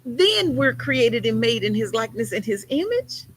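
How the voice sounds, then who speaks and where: A woman's voice: on the high side, sing-song, normal speed, a little hiss or hum. A woman preaching a sermon in an emphatic, conversational way, with folksy turns of phrase.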